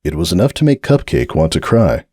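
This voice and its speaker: A man narrating a dramatic audiobook reading of a fanfiction story in a measured, expressive way. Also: deep voice, husky, dry recording, clean studio sound.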